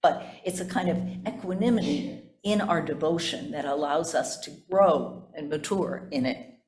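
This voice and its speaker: A woman's voice: low-pitched, darkish tone. Older woman preaching a reflective sermon. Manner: deliberate, emphatic, with folksy rhetorical questions to listeners. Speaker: pastor. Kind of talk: sermon, reflective with conversational asides.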